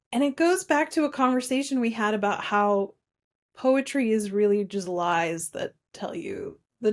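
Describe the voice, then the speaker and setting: A woman co-hosting a casual film discussion, recapping in an informal, conversational way with animated retelling. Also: medium pitch. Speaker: podcast co-host.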